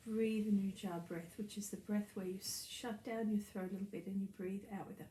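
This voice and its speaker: A woman leads a guided meditation as a breathing teacher, speaking in a soft, soothing, gently instructive way that turns breathy at times. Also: medium pitch, steady tone, brisk. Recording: roomy echo, faint background noise.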